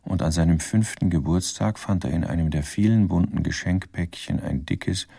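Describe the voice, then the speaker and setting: Dry ironic crime story narrator, low husky voice, formal, very close dry studio sound. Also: male voice, normal speed, dark tone, no echo, heavily acted. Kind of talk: scripted radio crime drama narration.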